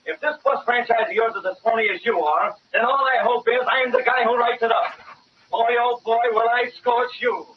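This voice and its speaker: An actor playing a cocky, slangy, hot-headed newspaper reporter in a 1940s-style radio drama. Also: male voice, extremely high pitch, steady tone, normal speed. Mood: indignant. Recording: muffled, noisy old radio recording.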